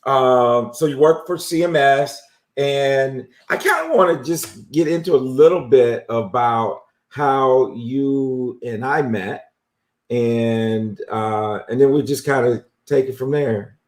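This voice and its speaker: Man, live show host, relaxed, chatty, lots of fillers, roomy echo. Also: mid-range voice, takes it slowly. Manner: relaxed, friendly, repeats phrases and fillers. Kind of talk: casual interview on a live stream.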